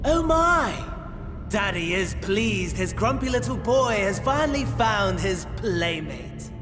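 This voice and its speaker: A man's voice: high-pitched, very animated, slow, over music, full character voice. A man voicing a gleeful, mocking villain in a game cutscene, playing the courteous host with mannered, sardonic delivery. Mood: gleeful taunting. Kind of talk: video game cutscene acting.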